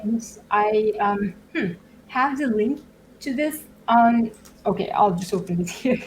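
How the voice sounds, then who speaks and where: A woman whose first language is Turkish, speaking as a guest in a casual tech demo. She talks haltingly, with plenty of fillers and little laughs. Faint steady noise sits under the voice. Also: mid-range voice, low-quality recording. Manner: hesitant, many fillers, laughs often. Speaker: guest developer advocate.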